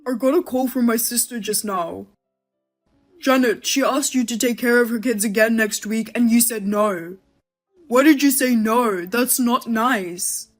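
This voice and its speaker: A woman voicing an irritable, jobless husband who blames and snaps loudly. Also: on the high side, measured pace, soft background music, low-quality recording. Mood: angry. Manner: loud, blaming and rude.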